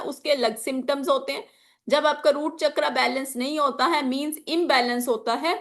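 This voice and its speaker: High-pitched woman teaching, sore throat. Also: fairly bright tone. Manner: explanatory, repeats key phrases, mixes in English terms. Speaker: teacher of an online class on chakras and magical oils. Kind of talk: informal spoken lesson with examples.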